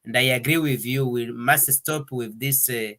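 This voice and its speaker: A man hosting an interview, talking in a relaxed, halting way with many fillers and restarts, now and then slipping into French. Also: medium pitch. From Congo. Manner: hesitant, many fillers and restarts, switches between English and French. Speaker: interview host. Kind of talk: conversational interview. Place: Denmark.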